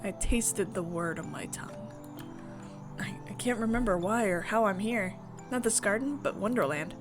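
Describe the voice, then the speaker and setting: A woman's voice: medium pitch, normal speed, over music, close to an everyday voice. A woman voicing a curious, wandering girl as she narrates a visual novel. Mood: pensive. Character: Alice, wandering curious girl narrating her dreamlike fall. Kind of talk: scripted visual novel narration read aloud.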